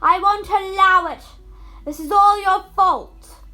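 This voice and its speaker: A woman's voice: extremely high pitch, slow pace, sharp tone, faint music behind, voice pushed up, exaggerated voice. A female reader voicing a domineering, furious stepmother in a storybook, with a shrieking, snapping manner. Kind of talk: storybook character voice.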